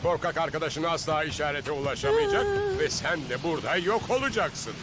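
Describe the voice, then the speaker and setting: A theatrical gloating cartoon villain. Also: male voice, on the high side, sing-song, fairly quick, noisy background. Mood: gloating, mocking. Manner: taunting, theatrical, pompous. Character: gloating male villain sorcerer commanding dark lightning. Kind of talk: Turkish-dubbed animated action cartoon acting. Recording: loud music over the voice.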